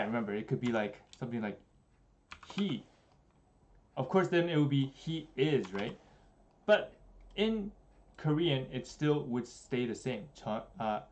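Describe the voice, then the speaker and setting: A young man in a small room giving a relaxed, chatty livestream language lesson, slowing down to spell out examples. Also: medium pitch, muffled recording. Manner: relaxed, chatty, many fillers, slows down for examples. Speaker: Korean language teacher.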